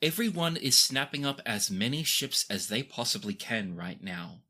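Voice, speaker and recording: A terse, grouchy ship's helmswoman in a tabletop adventure, acted out by the game master. The voice is forceful and mid-low for a woman, with a deadpan, blunt delivery that gives little away. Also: normal speed. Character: Kara, grouchy blank-faced helmswoman, terse and placid.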